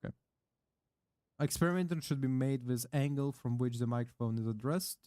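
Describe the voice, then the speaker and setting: Male streamer, mid-range voice, close dry mic; halting read-aloud with fillers and casual cursing. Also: mid-range voice, warm tone, dry recording. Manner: halting reading full of "uh" fillers and restarts, offhand swearing. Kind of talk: reading a product manual aloud, casual chat. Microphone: condenser microphone with pop filter, close.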